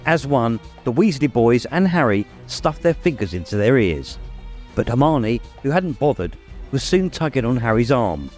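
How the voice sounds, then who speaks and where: A man's voice: mid-range voice, sing-song, normal speed, a little mellow, soft background music. A man narrating a story in a dramatic, scripted audiobook style.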